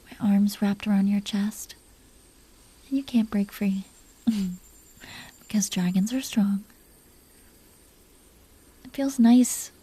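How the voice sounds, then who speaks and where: A woman playing a possessive, protective dragon queen in an intimate roleplay audio drama, teasing and coaxing the listener in soft tones that can drop toward a whisper. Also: mid-range voice, slightly rough.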